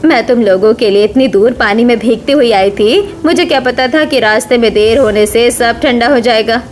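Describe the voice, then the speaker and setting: A woman playing a caring older mother-in-law in an animated family story, earnest and motherly, anxious yet determined. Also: a little high, moderate pace, a little hiss or hum, natural voice. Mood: pleading, motherly.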